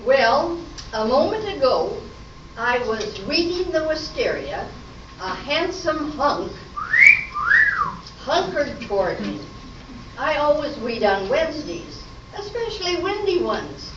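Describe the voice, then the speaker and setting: A chatty, theatrical comedy lady acted by a woman in an amateur radio play. Her delivery is high, slow and very animated, heard at a distance in an echoey room. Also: full character voice. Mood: dramatic. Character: dramatic, chatty women: fussy diner, gossipy witness. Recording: noisy echoey room, distant muffled mic.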